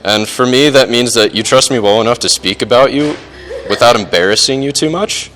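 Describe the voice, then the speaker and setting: A young man giving an informal best man speech at a wedding reception, halting, with dry, self-deprecating humor. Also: medium pitch, a bit bright, soft background music, low-quality recording. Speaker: best man, groom's older brother. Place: wedding reception, speaking to guests.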